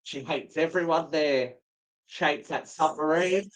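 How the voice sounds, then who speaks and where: A woman's voice: deep voice, a little slow, poor audio. A woman co-hosting a casual livestream, joking around in a theatrical, sweary way. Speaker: co-host of a live show.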